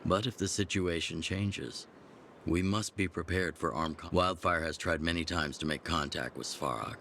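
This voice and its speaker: An actor playing a gruff veteran rebel chief in a video game, measured and authoritative, with game music behind. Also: male voice, low voice, bright, forceful tone, slight background noise, full character voice. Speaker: underground resistance chief.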